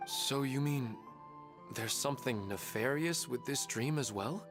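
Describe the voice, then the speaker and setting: Man playing a gentle, resigned middle-aged father. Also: medium pitch, a little slow, soft background music, lightly acted. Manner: soft, earnest and wistful.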